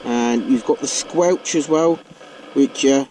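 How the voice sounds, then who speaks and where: A man's voice: medium pitch, takes it slowly. A man with a British accent giving a casual, chatty hands-on gadget walkthrough to his viewers as a vlog host. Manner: chatty, addresses viewers as folks. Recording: clear vehicle and ambient noise.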